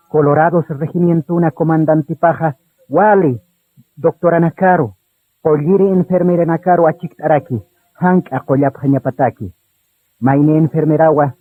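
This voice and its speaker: A man's voice: a little high, phone-quality sound. A man reading scripted dramatic narration for a radio drama. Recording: echoey, band-limited radio recording.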